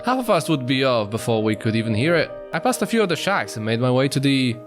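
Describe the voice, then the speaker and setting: Animated man reading a story aloud. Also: mid-range voice, fast. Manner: expressive, stumbles over words. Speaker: streamer, narrator. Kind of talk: reading visual novel narration aloud. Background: music.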